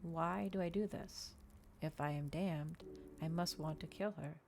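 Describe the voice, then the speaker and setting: A woman reading a story aloud in ASMR style, with a measured, dramatic delivery in short phrases. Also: sits low, a little mellow. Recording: clear background ambient noise.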